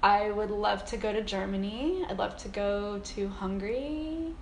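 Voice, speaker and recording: A woman's voice: medium pitch, measured pace. An American woman chatting casually and informally, rambling and often saying "like". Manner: rambling, informal, heavy use of "like". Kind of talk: casual conversation about travel. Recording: roomy echo, faint background noise.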